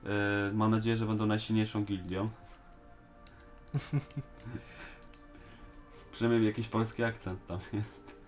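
A man's voice: fairly low pitch, steady tone, slow pace, long pauses, soft background music. A man in a casual fan discussion, speaking colloquially with some slang. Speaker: host of a fan discussion show. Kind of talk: casual chat reviewing an animated series.

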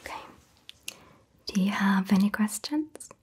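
A young woman whispering softly as a doctor in an ASMR roleplay, gentle and reassuring, recorded close to the mic in a small exam-room set. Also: mid-range voice, expressive, unhurried, slight background noise. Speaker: ASMR creator playing a doctor. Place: indoor set styled as a small doctor's office.

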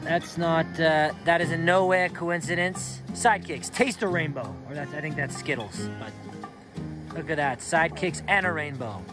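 A man's voice: on the high side, moderate pace. Playful young man vlogging over music. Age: young adult. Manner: playful, jokey, enthusiastic. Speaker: hiking vlogger. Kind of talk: casual outdoor vlog chat. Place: mountain trail outdoors and small wooden hut. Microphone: handheld action camera at arm's length. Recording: background music under the voice.

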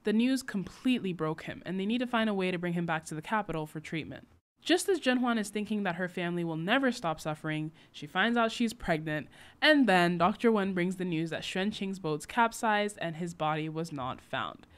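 Lively, wry young woman recapping a book. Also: on the low side, a little fast. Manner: wry, sarcastic asides. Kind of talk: casual recap narration.

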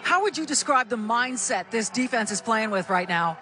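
A woman's voice: fairly high pitch, animated, normal speed. A female sideline reporter asking direct, upbeat questions on an outdoor field at night. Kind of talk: post-game field interview.

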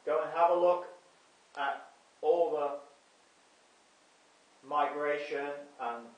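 A middle-aged man talking to camera from his living room sofa, earnest and measured, with many fillers and restarts. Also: on the high side, dull audio. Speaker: video broadcaster. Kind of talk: scripted-free monologue to camera. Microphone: camera mic from across the room. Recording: echoey room, voice heard from a distance.

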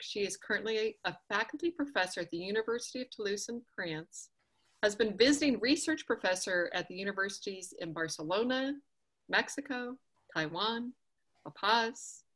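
A woman's voice: medium pitch, animated, brisk, fairly bright tone. A woman hosting an online summit, introducing her guest with effusive enthusiasm over a video call. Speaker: host.

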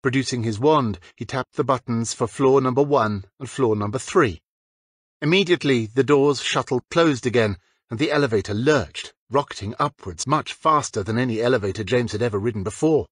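A man reading fiction as a scripted audiobook narrator, with a husky voice. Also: medium pitch, moderate pace, no echo, clean studio sound.

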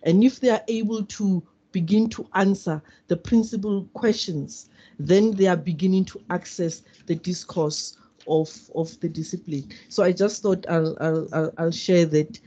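A woman's voice: lowish voice, unhurried, dark tone, breathy edge. A woman attending an online seminar, politely giving a formal comment. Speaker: seminar participant. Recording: muffled video call audio.

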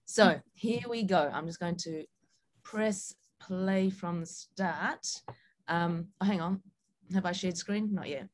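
A woman's voice: lowish voice, slow pace, slight background noise. A woman with a broad New Zealand accent giving an informal presentation as a guest speaker, casual and a little hesitant. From New Zealand and Sabah, Malaysia. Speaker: guest speaker, film director.

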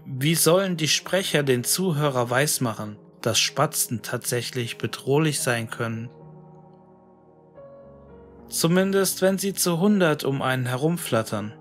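A man narrating a scripted horror monologue in a musing, deliberate, brooding manner with dramatic pauses. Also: medium pitch. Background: music.

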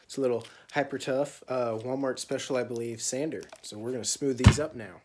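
A man's voice: medium pitch, a little fast. A young man vlogging a DIY woodworking build in a farm shed and porch workshop, joking and self-deprecating as he talks into a nearby phone camera. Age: young adult. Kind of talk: casual workshop vlog. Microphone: phone camera held or propped nearby. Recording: echoey room with clear background noise.

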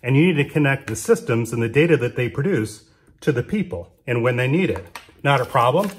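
A man giving a plain, steady whiteboard-style explanation while drawing on sticky notes at a desk. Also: mid-range voice, a little fast.

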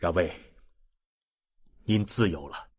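A man's voice: sits low, a little mellow, husky, pitched below the performer's own voice, full character voice. A man voicing grave, proud men from a historical novel in a weighty, dramatic manner. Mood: grave, calm. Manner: slow, dramatic, weighty delivery.

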